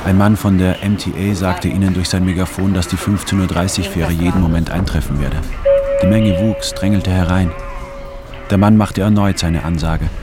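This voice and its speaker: A male actor plays a lost, brooding man telling his own story in a radio drama. His voice is very low and his delivery measured and inward. Strong music runs beneath the words. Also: fairly even intonation, lightly acted. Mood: detached, observant. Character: disoriented, brooding male first-person narrator adrift in a crowd. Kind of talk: literary first-person radio drama narration. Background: prominent music.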